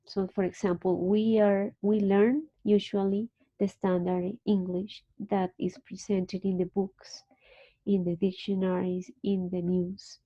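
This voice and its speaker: A woman teacher leads an online linguistics class. She talks slowly and keeps her pitch level and steady. Her voice comes through dry and slightly muffled over the call. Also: no room sound. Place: video call.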